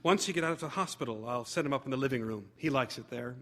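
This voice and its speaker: A man voicing a middle-aged mother in a comic story reading, measured, reassuring and placidly matter-of-fact. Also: medium pitch, fairly bright tone, natural voice.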